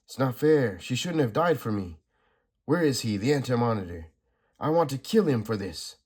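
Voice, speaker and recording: A grieving superhero, played by a man, urgent and raw in his pleading. The voice sits at middle pitch, raised in loudness, and is somewhat husky. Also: animated, normal speed. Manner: urgent, emotional delivery.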